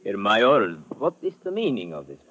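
An actor playing a defensive, evasive laboratory worker in a radio drama, indignant. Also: male voice, lowish voice, huge pitch swings, normal speed, a bit bright, heavily acted. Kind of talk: old-time radio drama acting. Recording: vintage radio broadcast recording.

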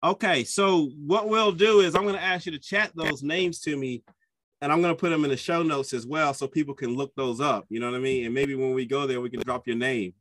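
A man hosting a soccer podcast, chatty and enthusiastic, often jumping up in pitch when he gets excited. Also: a little fast, no echo, poor audio. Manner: enthusiastic, chatty, with sudden upward pitch jumps. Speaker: podcast host. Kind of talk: casual podcast interview.

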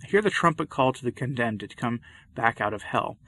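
A man's voice: mid-range voice, animated, normal speed, bright, forceful tone. A man reading a sermon aloud in a solemn, impassioned, old-fashioned declamatory style. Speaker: preacher.

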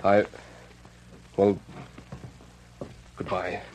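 An actor playing a middle-aged, plain-spoken cowhand and ex-convict in an old Western radio drama, halting and humble. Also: male voice, deep voice, flat delivery, darkish tone. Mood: humbly grateful. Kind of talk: 1940s-1950s Western radio drama acting. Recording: dull lo-fi old radio recording.

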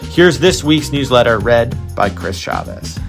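Man, medium pitch, scripted newsletter promo, clear music behind. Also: very animated, a little slow. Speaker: newsletter writer giving a promo. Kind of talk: scripted podcast promo read. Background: music bed.

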